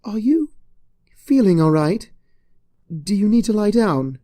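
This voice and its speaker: A reader voicing a concerned, polite female pony schoolteacher with a gentle, hesitant manner in a storybook reading. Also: mid-range voice, sing-song, measured pace, dry recording, voice pushed up. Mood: worried. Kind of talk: storybook character voice in fan fiction reading.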